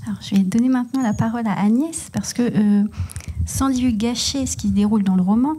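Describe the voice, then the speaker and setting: A woman moderating an author panel at a library, asking measured, thoughtful questions with some fillers. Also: fairly high pitch, dark tone, a little hiss or hum. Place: library event room.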